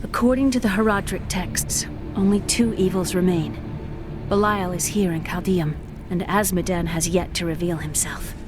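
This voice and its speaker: A woman's voice: lowish voice, normal speed, very noisy, natural voice. An actress playing an earnest young woman scholar in a video game cutscene. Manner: earnest, bookish.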